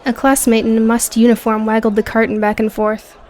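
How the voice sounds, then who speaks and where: A woman's voice: on the high side, monotone, a little fast, a little mellow, a faint crowd. A woman reading scripted audio book narration as the narrator.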